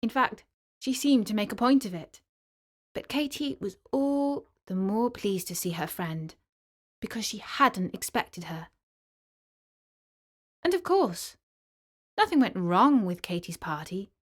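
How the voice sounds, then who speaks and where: A woman storyteller narrating a children's story in an expressive, clearly articulated storybook manner. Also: fairly high pitch.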